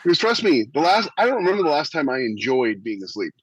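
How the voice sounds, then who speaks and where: A man's voice: a little high, big pitch swings, fast talker, crowd noise, low-quality recording. A man chatting casually as a podcast co-host, wry and emphatic, repeating himself for effect. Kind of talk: casual chat.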